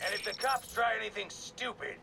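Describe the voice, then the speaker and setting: A man's voice: sits high, steady tone, brisk, vocal fry, heavy background noise. An actor playing a cruel, unhinged male arsonist villain in a video game, sneering and menacing.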